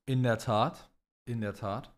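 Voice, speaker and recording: A young man with a medium-pitched voice. He co-hosts a podcast in an informal way, talking through listener questions with plenty of youthful slang. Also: steady tone, slow. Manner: slangy, mixes English phrases into German. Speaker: podcast co-host. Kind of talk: casual banter, answering listener questions.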